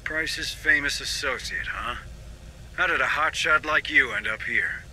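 An actor playing a gruff, embittered marine leader in a video game, curt and commanding. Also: male voice, a little high, expressive, a little slow, bright, forceful tone, slight background noise, exaggerated voice, rough recording. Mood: wary, hostile. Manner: curt, hard-edged, commanding. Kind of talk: cinematic game acting.

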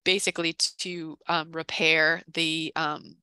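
A middle-aged woman, her voice starting to give out, carefully and haltingly presenting a case at an informal online meeting. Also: low voice, flat delivery, sharp tone, smooth, no echo, studio quality. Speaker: town conservation staffer presenting a case. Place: indoor room, on video call. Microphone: headset on video call.

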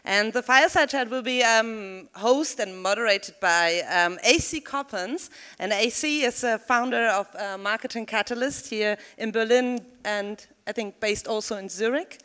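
A woman hosting an event, introducing a session on stage in a halting way with many fillers, speaking into a microphone. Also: a little high, pushed and bright, smooth. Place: conference hall. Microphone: handheld microphone. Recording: long hall reverb.

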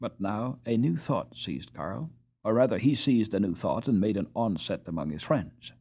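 A man reading a children's novel aloud as an audiobook narrator, in an expressive, dramatised way. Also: fairly low pitch, moderate pace, slightly rough. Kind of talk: scripted storybook narration.

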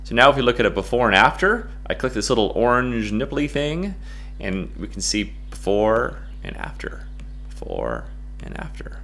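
A middle-aged American man giving a casual, playful software tutorial in a goofy, jokey way. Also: mid-range voice, sing-song, moderate pace, vocal fry, a little hiss or hum. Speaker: photo-editing tutorial presenter.

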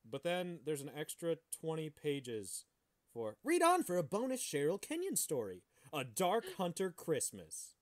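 A man's voice: on the high side, huge pitch swings, a little slow. A man co-hosting a podcast, bantering casually and reading aloud. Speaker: podcast host.

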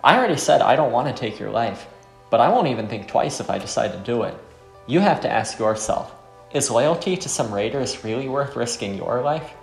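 A man's voice: medium pitch, expressive, fairly quick, gravelly. A man reading aloud the lines of a stern, self-assured swordsman in a visual novel. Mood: cool threat. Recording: roomy echo, faint music behind.

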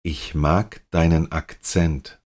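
A native German man with a very low voice reads short practice sentences for learners. He speaks slowly and deliberately, saying each line several times. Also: fairly even intonation, a little mellow. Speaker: language teacher. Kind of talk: slow repeated example sentences.

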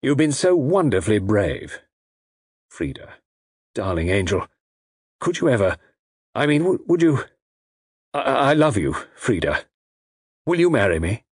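A man voicing a gallant, protective army major in an audiobook, with a brisk, plucky, plain-spoken manner. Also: lowish voice, sing-song, darkish tone, husky, no room sound, clean studio sound, exaggerated voice. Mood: tender.

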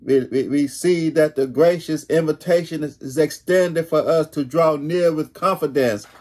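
A middle-aged man preaching a sermon as a pastor, deliberately repeating key phrases for emphasis. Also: a little high, a little mellow. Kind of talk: sermon, read from notes. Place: small home room, indoors.

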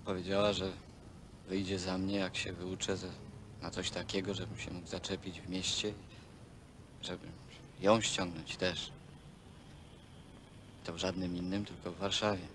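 An actor playing a resigned, guarded young worker from the country, terse and reticent, in naturalistic Polish film dialogue. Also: male voice, low voice, level tone, measured pace, pauses often, a bit bright, a little hiss or hum. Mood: resigned, wistful. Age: young adult. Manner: terse, reticent, short broken phrases.

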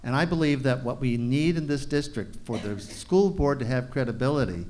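A man in his sixties, a school board candidate, giving a halting forum statement with many fillers into a desk mic. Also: mid-range voice, animated, a little mellow, a little hiss or hum. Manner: halting, many fillers and restarts, firm on policy. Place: meeting room at a panel table. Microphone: desk mic on a stand.

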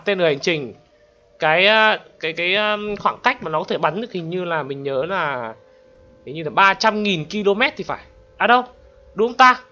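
A man giving excitable gameplay commentary as a channel host, often exclaiming and addressing his viewers. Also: sits high, muffled recording.

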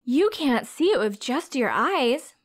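A woman's voice: sits high, sing-song, slow. A woman reading a storybook aloud to young children as a teacher, expressively, with simple questions and explanations.

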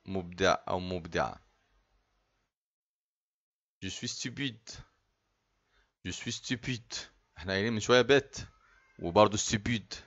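Low-voiced Egyptian man drilling phrases. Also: fairly bright tone, muffled recording. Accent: Egyptian Arabic. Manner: repeats each phrase slowly, then explains it quickly. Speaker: French teacher. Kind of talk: slow repetitive language drill.

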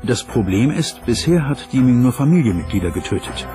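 A man's voice: mid-range voice, big pitch swings, a little fast, warm tone, soft background music, lightly acted. A man speaking scripted documentary voice-over as the translated voice of an investigator.

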